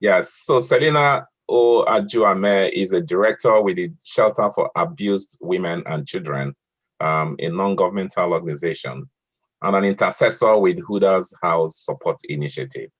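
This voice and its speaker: A man moderating an online poetry event, reading a poem aloud in a measured way and hesitating with fillers between speakers. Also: sits low. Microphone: online video call audio. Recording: muffled lo-fi call audio.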